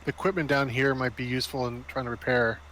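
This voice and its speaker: A man's voice: mid-range voice, steady tone, fast, fairly bright tone, a little hiss or hum. A man chatting casually as a player in a tabletop role-playing game.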